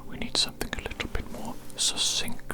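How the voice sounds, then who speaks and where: A performer in an ASMR medical roleplay as a doctor, speaking in a soft unvoiced whisper with formal, repetitive clinical phrasing. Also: fairly quick. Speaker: ASMR performer playing a doctor giving a hearing test.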